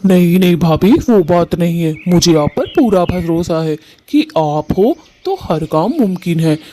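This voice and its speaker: A man's voice: high-pitched, lively intonation, a little slow, warm tone, soft background music. A man voicing an earnest, devoted male bird in a Hindi family cartoon, speaking affectionately. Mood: pleading.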